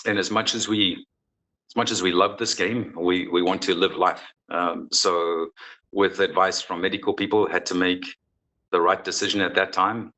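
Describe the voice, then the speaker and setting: Casting: low, forceful-toned man from South Africa, a coach in his forties, frank and halting. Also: level tone, rough recording. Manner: earnest, frank, halting with restarts. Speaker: football coach. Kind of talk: candid interview answers.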